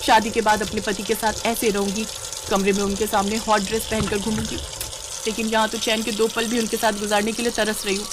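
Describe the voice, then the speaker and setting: A woman voicing a frustrated, sharp-tongued young new bride in a story, complaining and scolding. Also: mid-range voice, flat delivery, brisk, background noise, close to an everyday voice. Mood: bitter, complaining.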